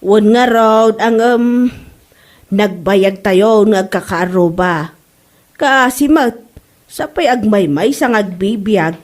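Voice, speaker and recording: A woman's voice: medium pitch, takes it slowly, natural voice. A woman playing a stern but neighborly mother in a comedy drama, with an Ilocano Filipino accent. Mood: reluctant. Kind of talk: amateur comedy radio-style drama.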